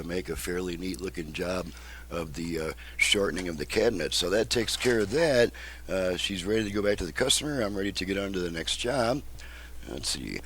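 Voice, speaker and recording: A middle-aged or older man giving a casual, methodical step-by-step demonstration as a repair technician. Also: deep voice. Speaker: car radio repair technician and presenter. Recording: noisy home recording with hum.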